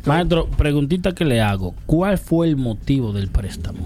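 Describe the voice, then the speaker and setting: A man's voice: fairly high pitch, very animated, normal speed, dark tone, a little hiss or hum. A man co-hosting an interview, calling the guest "maestro" and challenging his account.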